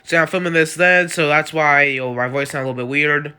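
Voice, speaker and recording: A man's voice: fairly high pitch, fairly quick, pushed and bright, clean tone. A man with a cold hosting his channel, recapping video-game character lore in a rambling way, full of likes and uhs. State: has a cold. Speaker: channel host.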